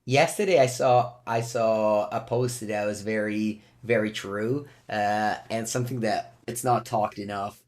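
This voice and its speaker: A man co-hosting a podcast. He talks casually and often stops to restart with "uh". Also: on the low side. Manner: halting, with many "uh" restarts. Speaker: podcast co-host. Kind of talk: casual chat.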